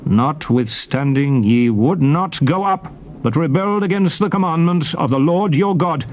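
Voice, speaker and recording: Moses, a declamatory prophet. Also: male voice, on the high side, lively intonation, unhurried, darkish tone. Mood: stern, reproving. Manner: declamatory, formal, emphatic phrasing. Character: Moses, solemn male prophet leader addressing his people. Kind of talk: dramatized scripture oratory. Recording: noisy old cassette tape transfer.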